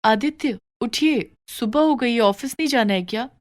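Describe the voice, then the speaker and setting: A woman's voice: a little high, normal speed, voice pushed up, natural voice. Woman acting a weary, dutiful young daughter-in-law. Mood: gentle, concerned. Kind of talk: moral story character dialogue.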